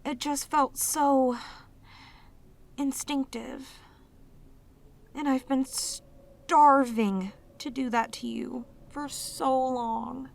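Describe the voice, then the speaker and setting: A woman playing a sweet, clingy demoness in a romantic audio roleplay, speaking tenderly and haltingly with intense devotion. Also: high-pitched, steady tone, creaky, exaggerated voice. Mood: soothing, devoted. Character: sweet, devoted, clingy yandere demoness, long sealed away.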